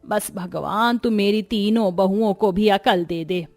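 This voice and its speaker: A woman's voice: mid-range voice, a little slow, darkish tone, no room sound, close to an everyday voice. A woman voicing a worried, stern older mother-in-law in a cartoon, scolding and pleading. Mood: upset. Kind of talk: cartoon voice acting.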